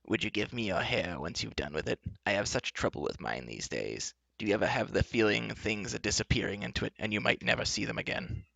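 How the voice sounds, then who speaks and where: A man voicing a plump, cheerfully menacing old enchantress from a storybook, coaxing and indulgent as she calmly threatens. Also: fairly low pitch, brisk, vocal fry, few pauses, dry recording, heavily acted, poor audio. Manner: kindly, coaxing, matter-of-fact about threats. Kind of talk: storybook character reading.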